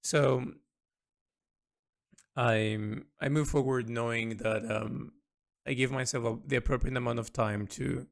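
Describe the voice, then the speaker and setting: A man with a gravelly, creaky voice at an average pitch records an unscripted audio log. He speaks earnestly and reflectively, often halting and doubling back on words as he works out his thoughts. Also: steady tone. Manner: halting, repeats words as he thinks aloud; earnest, introspective.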